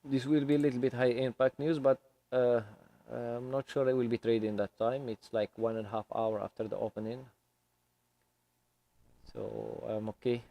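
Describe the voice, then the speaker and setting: A man with English as his third language, live-streaming his trading and talking through it slowly with many fillers at a home desk. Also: mid-range voice, little pitch movement, creaky, warm tone. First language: not English. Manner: slow, hesitant, many fillers.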